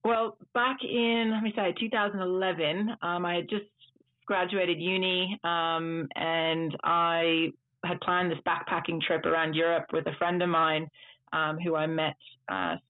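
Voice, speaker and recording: Native dutch guest in their 30s rambling on a call, flat voice, sounds like a phone. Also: medium pitch, a little slow, no room sound. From Netherlands and United States. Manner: chatty, long rambling answers. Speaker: guest, tour trip manager. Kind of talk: casual interview storytelling. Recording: tinny phone-like line, dry.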